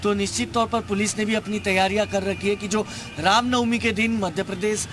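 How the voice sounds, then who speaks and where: A man's voice: high-pitched, steady tone, fairly quick. A man reporting live for television news from a street outdoors. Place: outdoor street outside a temple.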